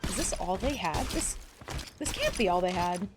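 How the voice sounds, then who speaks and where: A woman livestreaming a game, giving chatty, excitable commentary and swearing freely. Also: fairly low pitch, moderate pace, noisy background. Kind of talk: casual gameplay commentary.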